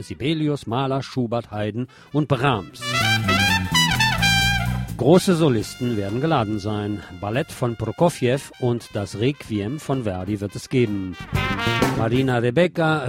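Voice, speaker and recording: A man's voice: medium pitch, darkish tone. A man reading scripted radio news and commentary in a wry, editorializing way. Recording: music bed under the voice.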